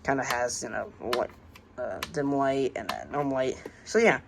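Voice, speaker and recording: An American woman casually showing a hobby collection, speaking in a halting, unscripted, plain way on an amateur home recording. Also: very deep voice, gravelly, background noise. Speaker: radio collector presenting the collection. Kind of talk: casual hobby show-and-tell narration.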